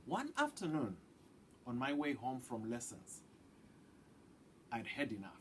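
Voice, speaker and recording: Slow-paced man reading a storybook aloud. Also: medium pitch, huge pitch swings, a little hiss or hum. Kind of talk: children's picture book read-aloud.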